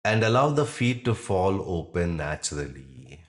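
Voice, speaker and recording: A man guiding a yoga nidra relaxation in a calm, measured manner, leaving long pauses between short phrases. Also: low voice. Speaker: yoga nidra meditation guide. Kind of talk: guided relaxation meditation.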